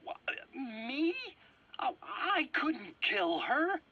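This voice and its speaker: High-voiced, stammering nervous scientist. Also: male voice, very animated, heavily acted. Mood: panicked, indignant. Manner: stammering, halting, protesting. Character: nervous, defensive male scientist under interrogation, evasive and pleading. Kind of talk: dramatic video game acting. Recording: dull, muffled game cassette audio.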